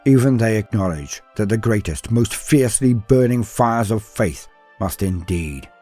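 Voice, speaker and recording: A man's voice: fairly low pitch, animated, unhurried, mellow, soft background music. A middle-aged man narrating scripted lore in a grandiose, theatrical storyteller's manner. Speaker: lore channel host.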